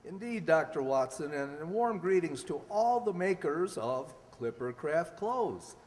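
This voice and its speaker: An actor playing a genial host and announcer of an old-time sponsored radio show, formal yet chummy. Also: male voice, on the high side, big pitch swings, unhurried, a little mellow. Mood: genial, showy. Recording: echoey hall, faint noise.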